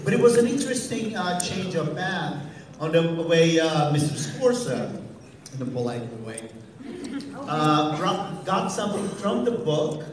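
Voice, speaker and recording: Brief: Brazilian man hosting on stage, halting and full of restarts, heard from the back of a big echoey hall. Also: fairly high pitch, very animated, far from the mic, slight background noise. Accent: Brazilian Portuguese. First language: Portuguese. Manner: hesitant, many restarts and fillers. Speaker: host of a teacher training event. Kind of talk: on-stage talk. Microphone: far audience camera.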